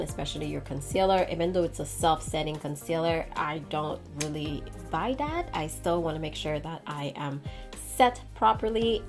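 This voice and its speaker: A woman's voice: on the low side, darkish tone. A woman giving a casual makeup tutorial in a chatty, playful, slangy way. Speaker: beauty vlogger.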